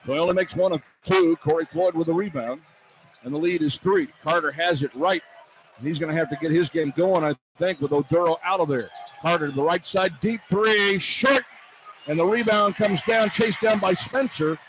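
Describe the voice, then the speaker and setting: A man calling live basketball play-by-play on radio from a noisy arena, in excited, clipped phrases that follow the action. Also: a little high, normal speed. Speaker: play-by-play announcer. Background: loud arena noise.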